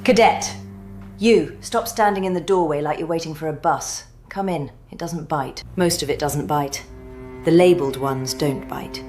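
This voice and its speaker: An actress playing a brisk, wry woman in charge of a secret archive, clipped and dry, in an audio drama. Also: low voice, darkish tone, natural voice. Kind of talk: scripted audio drama acting. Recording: music under the voice.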